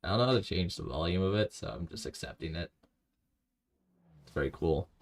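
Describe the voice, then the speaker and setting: A man streaming a video game, talking to himself in a rambling, low-key way and reading chat aloud. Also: lively intonation, brisk. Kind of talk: casual live-stream commentary while playing.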